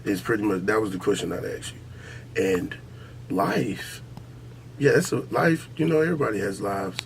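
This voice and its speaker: A man's voice: lowish voice, sing-song, moderate pace, creaky. Brash man podcasting over background noise. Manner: brash, opinionated, profane trash talk. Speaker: podcast host. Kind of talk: casual, heated sports and culture debate.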